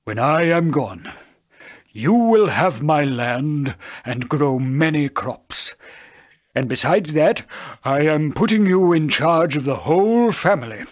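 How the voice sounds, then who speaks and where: A man voicing an old, blind, solemn father with a husky, breathy voice and slow, drawn-out delivery, as in a storybook. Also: a little high, animated. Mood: solemn, proud.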